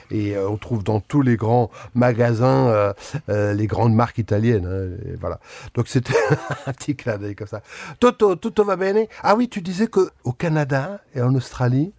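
A man's voice: lowish voice, moderate pace, no room sound. Male radio host, easygoing, hesitant, dry studio mic. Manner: relaxed, hesitant with frequent fillers. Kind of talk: casual radio chat.

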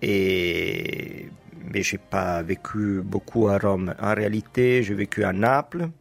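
A middle-aged Italian man speaking French with an Italian accent, a guest in an interview who explains himself in a measured way with frequent hesitations. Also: fairly low pitch, gravelly, faint music behind. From Italy. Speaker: guest, teacher and editor. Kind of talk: interview conversation.

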